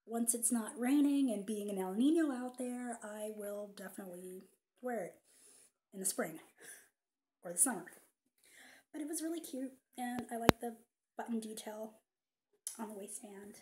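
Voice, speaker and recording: A young woman chatting casually on camera from her sofa, showing off thrifted vintage finds, with lots of ums and quiet whispered asides. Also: a little high, normal speed, long pauses, noisy background. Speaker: vintage fashion vlogger. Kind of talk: casual haul vlog. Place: living room, seated on a sofa. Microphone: webcam at desk distance.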